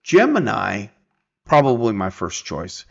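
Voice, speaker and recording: A man with a fairly low voice walks through software in an unhurried, casual way. He thinks aloud haltingly and often checks in with short tag questions. The recording is somewhat dull and muffled. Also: huge pitch swings, vocal fry, slightly rough. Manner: halting, conversational, often checking with "right?" and "okay?". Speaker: presenter of a software tutorial.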